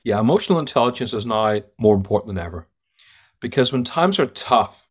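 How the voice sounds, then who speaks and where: Emphatic Irish man telling stories. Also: medium pitch. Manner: emphatic, storytelling, blunt verdicts. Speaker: guest sales trainer. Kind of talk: podcast interview.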